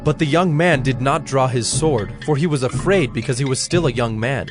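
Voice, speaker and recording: Male narrator for dramatized scripture, medium pitch, measured and solemn, light music underneath.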